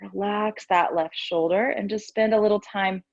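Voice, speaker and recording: A woman teaching yoga and meditation, giving gentle, soothing, unhurried guidance with pauses between instructions. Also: mid-range voice, fairly even intonation, gravelly, dry recording, lo-fi sound.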